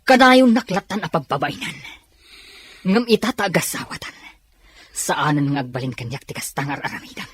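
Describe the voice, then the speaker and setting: A man's voice: a little high, very animated, normal speed, a bit bright, slight background noise. A man playing a controlling, stern husband in a radio drama. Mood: angry, demanding.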